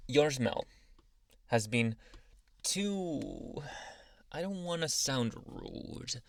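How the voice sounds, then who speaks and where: A man voicing a dominant but awkwardly polite alpha male werewolf in an intimate, halting ASMR roleplay, with sighs and murmured asides. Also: mid-range voice, creaky, a bit bright, dry recording, clean studio sound, full character voice. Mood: awkward, apologetic. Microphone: close, dry microphone.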